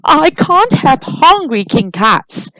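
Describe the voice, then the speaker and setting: A woman acts out a flustered storybook man who frets and screeches. The voice jumps high and swoops around in anxious, drawn-out exclamations. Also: very animated, pushed and bright, dry recording, full character voice, low-quality recording. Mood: panicked, fretful. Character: excitable, easily panicked man fretting as a storybook host.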